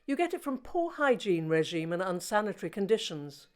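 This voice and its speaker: An actress playing an unsentimental British forensic pathologist with a dry, clinical manner in a radio play. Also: female voice, mid-range voice, big pitch swings, fairly quick, a little mellow, close to an everyday voice. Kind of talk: naturalistic radio play acting.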